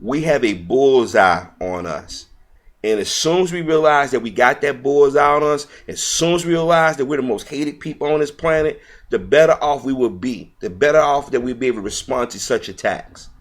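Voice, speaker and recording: A man's voice: mid-range voice, normal speed. A man reading news aloud as an online commentator, stumbling over words here and there and speaking in an earnest, opinionated way.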